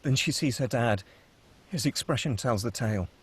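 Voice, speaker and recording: A man's voice: medium pitch, normal speed, breathy edge. A man narrating the stage directions at a script table read. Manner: steady, matter-of-fact reading.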